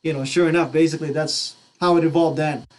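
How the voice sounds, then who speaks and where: A man's voice: a little high, steady tone, quick pace. A middle-aged man hosting a casual radio interview, speaking in a slangy, code-switching way. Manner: slangy, mixes Tagalog into English. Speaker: radio host.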